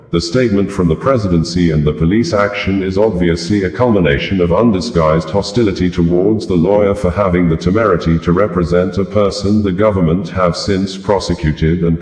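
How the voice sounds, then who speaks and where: A man reads scripted news in a formal, measured manner. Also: low voice, a little mellow, echoey room. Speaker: news reader.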